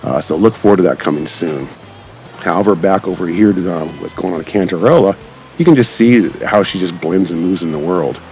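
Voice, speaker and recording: A man's voice: deep voice, a little fast, darkish tone. A man giving casual, enthusiastic, rambling gameplay commentary as a channel creator. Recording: background music behind the voice. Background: music.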